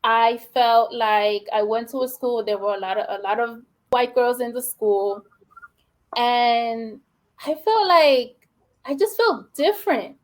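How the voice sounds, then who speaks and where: A woman's voice: fairly high pitch, slow. A woman from the Bronx with a New York Puerto Rican accent, a guest educator speaking earnestly and reflectively, filling her talk with "you know" and "like". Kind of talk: conversational interview.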